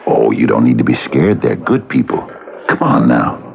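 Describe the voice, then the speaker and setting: A man's voice: extremely low pitch, big pitch swings, moderate pace, gravelly, full character voice. An actor in an old-time radio drama playing a genial married man, coaxing and soothing in a folksy, hesitant way. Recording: muffled old radio broadcast, music behind.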